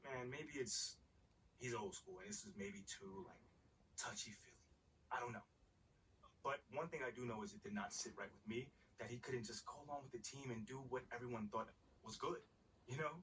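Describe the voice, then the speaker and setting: A man's voice: medium pitch, lively intonation, moderate pace, a bit bright, far from the mic, slight background noise, lightly acted, rough recording. A man playing a confident young American pro basketball player in a video game, talking in casual slang. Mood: earnest, candid. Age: young adult. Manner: slangy, conversational.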